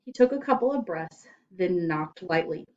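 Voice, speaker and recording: Expressive woman reading a children's story. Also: lowish voice, moderate pace, dull audio, a little hiss or hum. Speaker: story reader.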